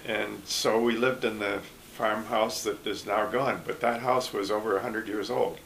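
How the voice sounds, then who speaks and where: An older man from Michigan recalling his past in a relaxed interview, speaking haltingly with frequent restarts and small chuckles. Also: lowish voice, vocal fry, a little hiss or hum, rough recording. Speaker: oral history interviewee. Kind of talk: casual recollection.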